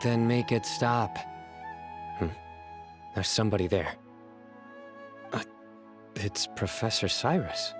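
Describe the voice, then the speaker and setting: A man voicing a stern, stoic young hero in a video game, measured and deadpan with a dry, self-assured wit. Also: lowish voice, over music. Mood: curt, serious. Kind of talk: animated video game voice acting.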